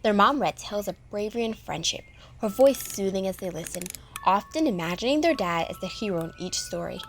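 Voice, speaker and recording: A woman's voice: medium pitch, expressive, brisk, vocal fry, faint music behind. A woman reading scripted narration for a children's audio story.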